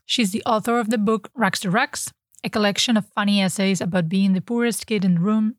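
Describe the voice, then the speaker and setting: Measured woman hosting an interview. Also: mid-range voice, no echo, studio quality. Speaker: podcast host. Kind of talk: interview host, scripted intro.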